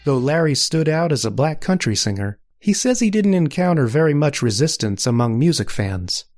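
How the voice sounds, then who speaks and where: A man hosting a podcast, narrating and asking measured questions. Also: fairly high pitch, big pitch swings, darkish tone, soft background music. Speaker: podcast host. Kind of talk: interviewer narration.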